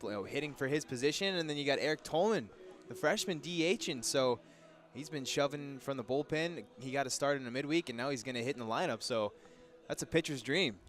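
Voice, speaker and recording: A young man with an American accent provides color commentary on baseball. His pitch is average for a man. He talks informally, explaining plays in a conversational way over a headset mic. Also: lively intonation, a little fast, fairly bright tone. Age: young adult. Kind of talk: conversational sports analysis.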